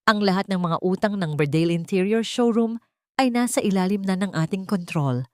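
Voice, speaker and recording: A woman's voice: mid-range voice, normal speed, darkish tone, smooth, no room sound, natural voice. A loyal, assured male attorney played by a female narrator, formal and businesslike as he reports to his boss in an audio drama. The sound is close and dry. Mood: triumphant.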